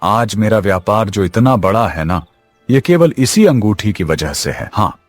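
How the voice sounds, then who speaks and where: A man's voice: lowish voice, lively intonation, unhurried, no room sound. A man playing a boastful, spiteful younger brother and village chief in a cartoon, haughty and taunting. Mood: gloating. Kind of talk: Hindi cartoon story acting. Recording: music behind the voice. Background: music.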